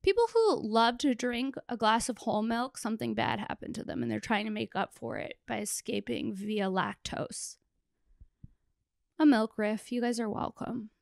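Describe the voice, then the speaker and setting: A young woman hosts a casual solo comedy podcast in a fairly high voice that is worn hoarse and crackles with gravelly fry. She sounds tired but talks bluntly and jokingly, with some swearing. The handheld mic is close and the sound is dry. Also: normal speed, no echo. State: hoarse, worn-out voice after a festival; exhausted. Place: small plain room indoors. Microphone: handheld microphone held close.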